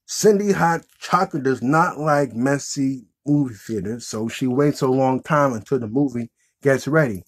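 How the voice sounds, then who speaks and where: A man hosts a puppet show in a casual, improvised way. His voice is husky and unpolished, that of someone in his thirties. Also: mid-range voice, moderate pace. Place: small indoor room with green backdrop.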